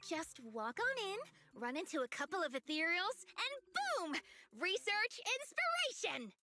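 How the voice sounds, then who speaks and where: A woman voicing an excitable, eager young woman researcher obsessed with monsters in a video game. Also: very high-pitched, measured pace, soft background music, exaggerated voice. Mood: eager, chatty. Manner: animated, eager, dramatic.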